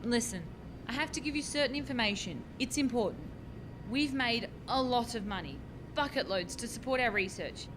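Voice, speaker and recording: An actress playing an earnest, bossy, harried young scientist in a radio farce, explaining things insistently. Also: female voice, a little high, animated, moderate pace, background noise, lightly acted. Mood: urgent, instructing. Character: earnest, bossy, harried female doctoral time-travel researcher.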